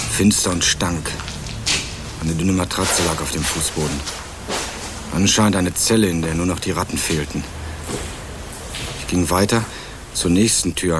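A man's voice: low-pitched, close to an everyday voice. A male actor playing a young student sleuth, narrating a crime radio play in a dry, laconic, sardonic manner over music. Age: young adult. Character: young male student turned amateur sleuth, wry, hard-boiled narrator.

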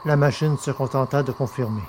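A man hosting a podcast and narrating a science fiction audio novel, in a clear, even storyteller's reading. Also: mid-range voice, brisk, a little hiss or hum.

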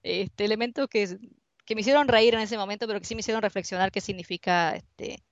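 Argentine woman researcher talking through her seminar slides over skype, mid pitch, muffled lo-fi call sound. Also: fast, no room sound. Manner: conversational, searching for words. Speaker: university researcher presenting. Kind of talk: online seminar presentation. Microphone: computer mic on a video call. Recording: dull lo-fi online call audio.

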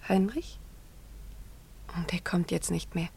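A woman's voice: lowish voice, slow pace, creaky, husky, heavily acted. An actress in a radio drama playing an earnest, naive young village girl with a hesitant manner. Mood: wistful. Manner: hesitant, earnest, often hushed. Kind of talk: German radio drama acting.

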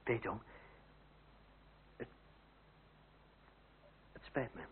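An actor playing a proud, sharp-witted young republican gentleman in a period radio drama, with formal, sardonic, measured speech. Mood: regretful. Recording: old muffled radio play, hum, narrow band.

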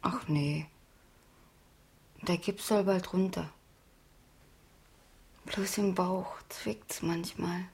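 An actress playing a gentle, dreamy injured schoolgirl in a German radio drama, with a soft voice. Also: lowish voice, drawn-out words, gravelly, pauses often, slightly rough, exaggerated voice. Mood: dreamy, frail. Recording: music behind, 1970s radio play.

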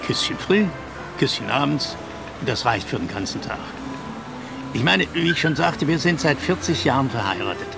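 A man's voice: mid-range voice, big pitch swings, normal speed, creaky, music in the background. An actor in his sixties playing a chatty, sentimental Saxon husband in a radio drama, from Saxony, talking in a confiding way. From Saxony, Germany.

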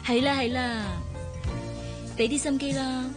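A woman's voice: high-pitched, little pitch movement, measured pace, over music. An actress playing a coy, teasing young woman in love in a Hong Kong Cantonese radio drama. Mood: coy, affectionate.

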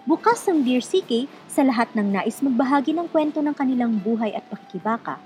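A woman's voice: high voice, expressive, brisk, a little mellow, soft background music. A woman hosting a scripted radio show, speaking to listeners directly in an exhortative, conversational way. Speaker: radio program host.

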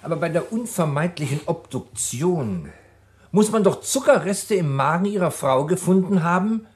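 A sceptical public prosecutor in a German radio thriller. His voice sits a little high and moves expressively up and down, while his questioning stays formal, composed and drily ironic. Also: sing-song. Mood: sceptical, amused. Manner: formal, measured questioning with dry irony. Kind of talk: German radio crime drama acting.

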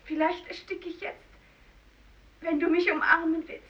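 An actress playing a fearful young fisherman's wife in a German radio drama, speaking slowly in a hushed voice that drops toward whispers. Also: very high-pitched, little pitch movement. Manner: slow, hushed, dropping into whispers. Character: fearful young fisherman's wife rejecting her stricken husband. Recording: dull distant recording with hiss.

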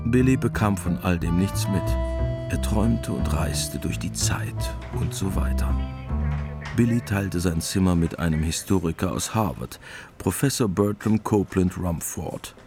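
A man's voice: low voice, measured pace, over loud music. A man narrating a literary radio drama in a matter-of-fact storytelling manner.

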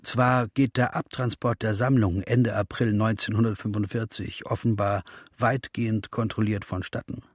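Sober male documentary narrator in a radio drama, lowish husky voice, precise and dryly ironic. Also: little pitch movement, normal speed, no echo, clean studio sound.

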